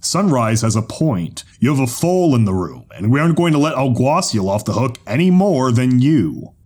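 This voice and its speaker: A man plays a principled, judgmental zebra in an audio drama. His medium-pitched voice moves expressively. He speaks firmly. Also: sing-song, moderate pace, darkish tone. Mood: firm, reproving. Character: judgmental, quiet male zebra, firm and principled.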